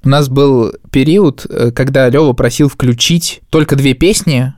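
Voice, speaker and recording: A man's voice: medium pitch, unhurried, darkish tone, no room sound, studio quality. A man in his thirties hosting a podcast, talking in a casual, conversational way. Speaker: podcast host. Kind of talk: casual chat.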